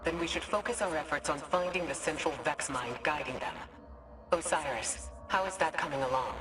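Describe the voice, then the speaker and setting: A woman's voice: low-pitched, normal speed, recorded from a distance, over music, close to an everyday voice. A woman playing a stern, protective, impatient leader in a video game, speaking firmly and formally. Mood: stern, questioning. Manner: firm, formal, urgent. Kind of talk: video game cutscene acting.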